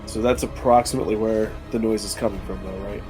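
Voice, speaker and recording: A man's voice: lowish voice, steady tone, quick pace, over loud music. A man talking casually as a player in a tabletop roleplaying game.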